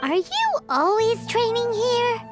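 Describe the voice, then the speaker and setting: A woman's voice: huge pitch swings, slow, full character voice. A woman voicing a tiny, cheerful, naive childlike fairy companion in a video game, with music behind. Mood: curious. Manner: refers to itself in third person, squeaky.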